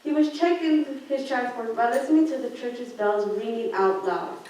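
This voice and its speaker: A woman's voice: on the high side, normal speed, dark tone, slight background noise. Echoey young woman narrating, far from mic. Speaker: narrator in a student radio play. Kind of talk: scripted story narration, read from a page. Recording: very echoey room, distant microphone.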